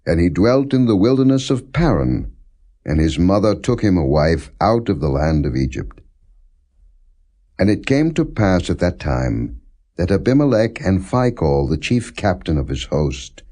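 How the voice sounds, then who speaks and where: Male scripture reader, low-pitched voice, solemn audiobook narration, formal, measured, deliberate phrasing, undramatized. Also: darkish tone. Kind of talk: solemn non-dramatized audiobook narration of the Bible.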